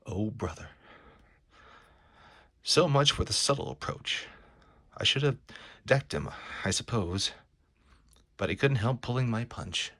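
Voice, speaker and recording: A furry, brainy superhero acted out by a man muses in wry, self-mocking asides. He is beleaguered but gentlemanly, speaking at a slow pace in a mid-range male pitch, as in a comic book read aloud. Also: lively intonation, exaggerated voice.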